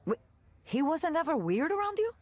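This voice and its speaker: An actress playing a candid, jaded former tech worker in a visual novel, conversational and sardonic with disgusted asides. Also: female voice, sits high, big pitch swings, normal speed, dry recording. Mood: wry, conspiratorial. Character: candid, jaded woman ex-tech worker telling a wry story. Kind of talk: naturalistic visual novel voice acting.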